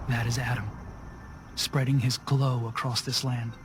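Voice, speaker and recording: An actor playing a stern, devout cult zealot in a video game, speaking in a measured, solemn and cryptic way. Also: male voice, mid-range voice, flat delivery, a little hiss or hum, natural voice. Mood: reverent. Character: stern, devout male cult zealot guarding his faithful. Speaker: cult gatekeeper. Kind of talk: video game dialogue acting.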